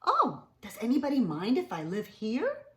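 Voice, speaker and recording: A good-natured caveman from a children's storybook, acted out by a woman. He sounds earnest and full of wonder, pitched high with big lilting swings, in a roomy space. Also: huge pitch swings, moderate pace. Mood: hopeful, wondering. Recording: roomy, echoing indoor sound.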